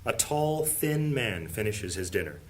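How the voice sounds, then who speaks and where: A man's voice: lowish voice, sing-song, measured pace. A man performing grave, theatrical narration as the storyteller of a fantasy audio drama. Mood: suspenseful. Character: solemn male fantasy storyteller. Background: music.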